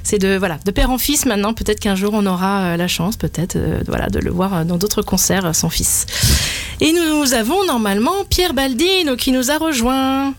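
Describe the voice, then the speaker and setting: A woman hosting a radio interview, friendly, filling her pauses with short hesitations. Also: a little high, expressive. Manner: friendly, fills pauses with euh. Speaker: radio host.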